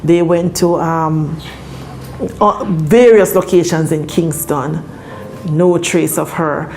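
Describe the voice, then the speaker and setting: Low-voiced Jamaican woman, official spokesperson. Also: fairly even intonation, slow pace, a little mellow, a little hiss or hum, poor audio. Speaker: police spokeswoman. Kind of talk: interview, explaining a case in formal speech. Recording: faint music behind the voice.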